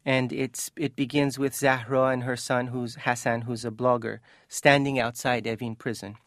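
A man's voice: medium pitch, level tone, moderate pace, no room sound, studio quality. A man from Iran speaking as an author and interview guest, thoughtful, earnest and impassioned, sometimes reading from his book.

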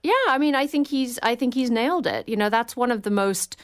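A woman's voice: on the high side, a little fast. A woman guest on a radio call-in show, speaking thoughtfully and enthusiastically about opera, with frequent fillers.

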